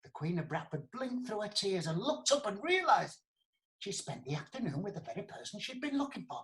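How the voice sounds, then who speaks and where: Radio play: playful pantomime storyteller, very expressive delivery aimed at children. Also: male voice, high voice, brisk, heavily acted. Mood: playful storytelling. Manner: playful, addressing children and audience. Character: cheery pantomime entertainer telling a comic fairy tale. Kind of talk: storytelling in a radio comedy drama.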